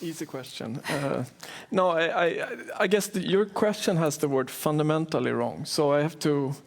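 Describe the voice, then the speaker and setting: A man speaks on a panel, weighing his words and restarting often. His voice sits a little high for a man. He talks in a measured, thinking-aloud way. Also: gravelly. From Sweden. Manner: hesitant, many restarts. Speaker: economist panelist. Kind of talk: panel discussion.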